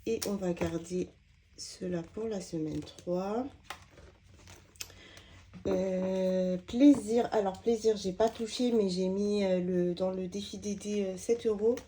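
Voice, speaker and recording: A woman's voice: mid-range voice, fairly even intonation, slow, mellow, a little hiss or hum. A woman, slightly stuffy from a cold, chatting casually while sorting her budget envelopes, with lots of hesitant fillers. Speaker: budgeting vlogger.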